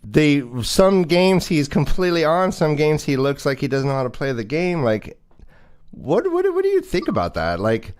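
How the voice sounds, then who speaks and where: A man's voice: fairly high pitch, huge pitch swings, normal speed, a little mellow, clear, resonant tone. A man hosting a casual fantasy football livestream, chatting away and putting questions to his co-host.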